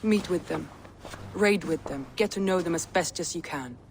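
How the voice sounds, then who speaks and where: An actress playing a proud Viking warrior woman who leads her clan, speaking with deliberate authority in a video game. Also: on the low side, darkish tone, slight background noise, close to an everyday voice. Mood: earnest, confiding. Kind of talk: video game cutscene acting.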